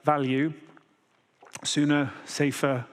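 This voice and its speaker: A man's voice: fairly high pitch, flat delivery. British man giving a keynote in a hall. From Britain. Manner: measured, with frequent "uh" fillers. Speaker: keynote speaker. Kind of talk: conference keynote talk. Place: large conference hall. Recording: hall reverb.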